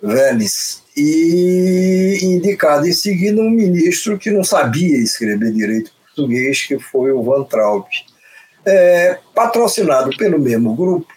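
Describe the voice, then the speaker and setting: A man with a Brazilian accent, a panel commentator who speaks skeptically and somewhat haltingly about a new minister. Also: high-pitched, lively intonation, a little mellow, breathy edge, poor audio. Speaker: political commentator.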